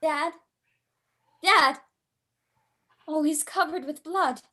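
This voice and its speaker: Female actor as frightened young girl, high-pitched, sharp, anxious, slow delivery, radio horror drama. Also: heavily acted. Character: frightened, devoted young daughter. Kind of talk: old time radio horror acting.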